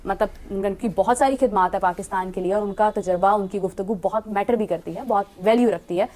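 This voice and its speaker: A female presenter speaks rapidly in a mid-pitched voice. Her delivery is conversational, in the style of a sports discussion show. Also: mellow.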